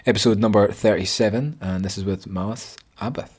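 A man's voice: fairly low pitch, fairly even intonation, a little fast, darkish tone, slightly rough. A man hosting a podcast, introducing the episode in a loose, chatty way with many fillers. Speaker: podcast host. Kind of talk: casual podcast intro and interviewing.

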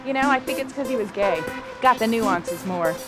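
An actress playing a chatty, self-absorbed actress in a TV clip, talking in a breezy, confiding way. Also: female voice, a little high, expressive, moderate pace, lightly acted, poor audio. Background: music.

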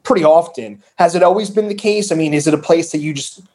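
A man's voice: a little high, fast talker, darkish tone. A man with a northern New Jersey accent, hosting a casual interview over a video call, with frequent fillers and restarts. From northern New Jersey, USA. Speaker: podcast host.

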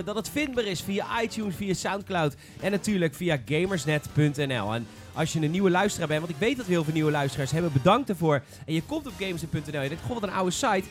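A man's voice: high-pitched, animated, fairly quick, few pauses, soft background music. A man hosting a casual gaming podcast in an informal, enthusiastic manner. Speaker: podcast host.

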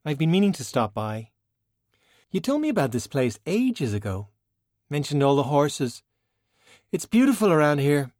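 A man's voice: on the high side, big pitch swings, moderate pace, long pauses, darkish tone, no room sound, clean studio sound, voice pushed up. A man voicing a breezy young woman in an audiobook, informal, direct and casually friendly. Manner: informal, blunt. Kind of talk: audiobook character dialogue.

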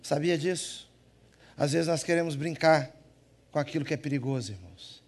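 A man from Minas Gerais preaching with a Mineiro accent and a voice pitched a touch high. He jokes with the congregation and swings from loud, emphatic lines to soft, quiet asides. Delivered as a live church sermon. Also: lively intonation, normal speed. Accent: Minas Gerais Brazilian Portuguese. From Minas Gerais, Brazil. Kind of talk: lively humorous sermon.

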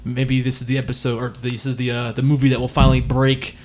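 A man's voice: mid-range voice, monotone, fast, darkish tone, a little hiss or hum. A man co-hosting a casual podcast, playful and laughing often, recording at a friend's home. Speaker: podcast co-host. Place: at a friend's home, not usual studio.

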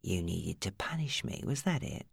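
A woman's voice: extremely low pitch, big pitch swings, moderate pace, gravelly, breathy edge, no room sound, studio quality, voice pushed down, heavily acted. A woman voicing a smug, bullying male club boss in an audiobook, sneering and contemptuous. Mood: quiet menace. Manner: sneering, contemptuous, hissing when angered. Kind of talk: audiobook character acting.

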